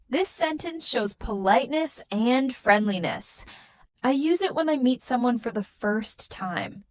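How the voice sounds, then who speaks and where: A woman teaching a scripted beginner English lesson, speaking in slow, carefully spaced, patterned sentences. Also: a little high, no echo.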